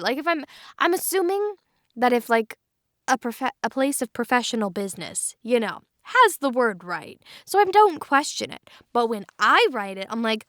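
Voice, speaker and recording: A woman's voice: high voice, no room sound, clean studio sound. A woman chatting casually and playfully, with a joking, dramatic way of talking. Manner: animated, self-dramatizing, joking. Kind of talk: casual, playful panel chat.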